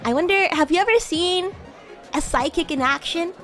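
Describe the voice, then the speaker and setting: A woman roleplaying a playful, bratty demon classmate on a livestream, chatting in a halting, improvised way. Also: extremely high pitch, fairly bright tone, soft background music, some chatter behind. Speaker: streamer and VTuber host.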